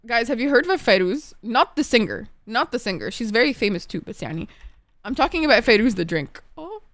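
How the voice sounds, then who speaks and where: Streamer, young woman, Egyptian accent, a bit high-pitched, chatty, excitable, curses often, dry sound. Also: brisk, no room sound. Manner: chatty, excitable, swears freely. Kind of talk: casual chat while gaming.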